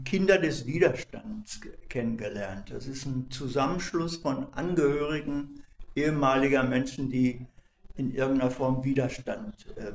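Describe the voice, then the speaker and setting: Older man, volunteer archivist, halting, searches for words, roomy recording. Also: medium pitch, slight background noise. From not from Cologne. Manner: hesitant, many fillers and restarts. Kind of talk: conversational interview answers. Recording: echoey room.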